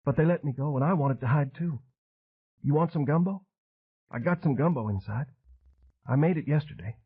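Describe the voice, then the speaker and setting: A man voicing an unstable madwoman with a high, trembling voice, fragile and fervent. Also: moderate pace, husky, narrow, tinny audio. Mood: excited, rambling.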